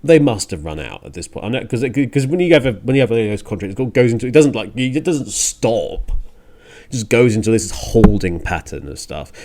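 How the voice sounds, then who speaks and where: A man's voice: fairly low pitch, big pitch swings, fairly quick, dark tone. A man hosting a music radio show, rambling casually and thinking aloud with hesitant digressions.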